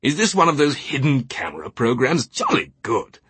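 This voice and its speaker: A man's voice: mid-range voice, very animated, moderate pace, a bit bright, breathy edge, no room sound, voice pushed up, exaggerated voice. A man voicing a jovial, posh older British knight in an audiobook, breezy and blustering with theatrical indignation. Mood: jolly amusement.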